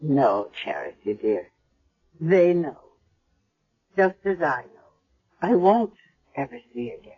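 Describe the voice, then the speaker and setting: A woman's voice: deep voice, big pitch swings, takes it slowly, long pauses, husky, heavily acted. Frail old grandmother, muffled recording. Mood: resigned, tender. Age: older. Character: frail old blind grandmother, dying, tender and resigned. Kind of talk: 1970s radio drama acting. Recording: dull vintage radio recording.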